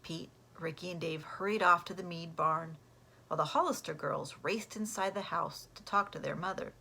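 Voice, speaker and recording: A woman reading a children's storybook aloud like a teacher, in an expressive way that acts out the characters. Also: on the low side, moderate pace, gravelly, husky. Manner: animated, acts out character voices.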